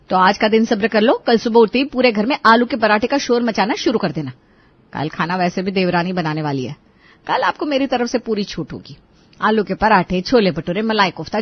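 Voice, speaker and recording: A woman voicing a scheming, bossy, sharp-tongued wife in a family cartoon, scolding and sarcastic. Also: mid-range voice, dry recording, lo-fi sound, close to an everyday voice. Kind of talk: Hindi family cartoon voice acting.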